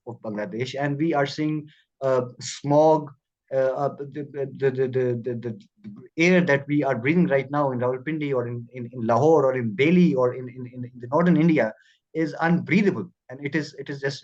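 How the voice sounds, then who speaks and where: A man giving an unscripted speech at an online meeting, halting with many repeats and fillers. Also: medium pitch, darkish tone. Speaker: speaker at an online political meeting. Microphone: video call. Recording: dull lo-fi call audio.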